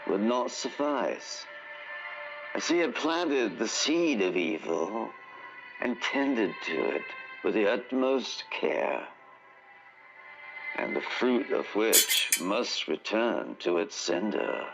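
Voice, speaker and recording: A man narrating a fantasy tale in radio-theatre style, grandiose and deliberate, with long pauses. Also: fairly low pitch, sing-song, gravelly, muffled recording. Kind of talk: dramatic scripted fantasy storytelling, old radio theatre. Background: music under the narration.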